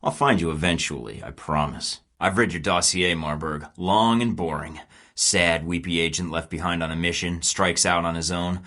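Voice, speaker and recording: A man's voice: sits low, moderate pace, bright, forceful tone. An actor playing a wisecracking young male rogue spy in a video game, sarcastic and taunting. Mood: sardonic. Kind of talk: game voice lines.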